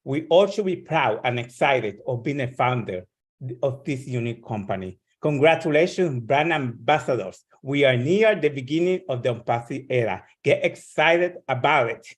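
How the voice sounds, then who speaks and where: A man giving prepared remarks to an online meeting as a guest speaker, earnest and somewhat halting in his delivery. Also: mid-range voice, expressive.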